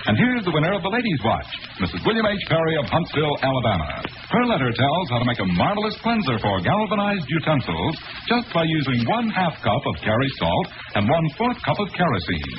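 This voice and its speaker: Old time radio ad guy, higher voice, super animated, muffled noisy recording. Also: quick pace. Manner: salesman-like, emphatic. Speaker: radio announcer. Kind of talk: 1940s radio advertisement reading.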